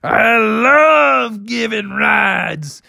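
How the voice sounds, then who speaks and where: A man voicing a jolly, hearty triceratops, hollering in drawn-out words for a children's story. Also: sits high, expressive, creaky, bright, forceful tone, no room sound, studio quality, voice pushed up, heavily acted. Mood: jolly, inviting. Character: jolly, hearty triceratops who loves giving rides.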